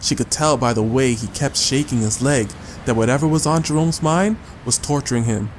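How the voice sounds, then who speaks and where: A man's voice: medium pitch, normal speed, slight background noise, rough recording. A man reading a scripted short story as a dramatic audiobook narrator. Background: music.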